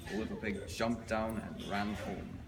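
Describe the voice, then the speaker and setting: A man's voice: on the low side, steady tone, measured pace, creaky. A man telling a children's fairy tale as a dramatic storybook reading. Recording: noisy, reverberant hall recording.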